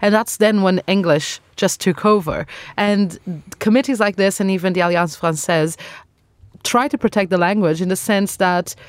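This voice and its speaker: A woman's voice: mid-range voice, normal speed, no echo. A woman from Portugal, a guest on a radio panel, speaking thoughtfully with small hesitations.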